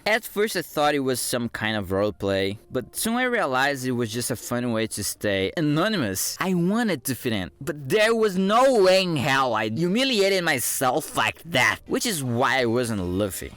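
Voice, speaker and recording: Lively young Brazilian man telling a comic story. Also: mid-range voice, smooth, dry recording. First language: Portuguese. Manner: animated, self-mocking, jumps between mutters and outbursts. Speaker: animator and storyteller. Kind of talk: comedic animated storytime narration.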